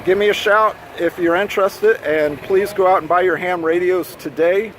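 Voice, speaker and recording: A man's voice: high voice, fairly even intonation, moderate pace, fairly bright tone. A man speaking informally to the camera as a vlogger and amateur radio enthusiast. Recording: outdoor recording with clear ambient noise.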